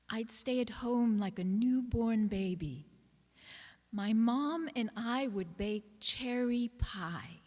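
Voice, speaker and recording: A woman giving a dramatic reading of a personal piece of writing as an actor on stage. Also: a little high. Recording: reverberant hall recording.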